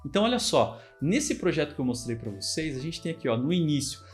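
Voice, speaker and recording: A Brazilian-accented man with a slightly raised voice explains code at his desk mic in a relaxed, conversational way, often pausing to check the viewer is following. Soft music plays faintly underneath. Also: fairly high pitch. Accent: Brazilian Portuguese. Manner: casual, chatty explaining with frequent check-ins. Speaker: programming instructor. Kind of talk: screen-recorded coding tutorial.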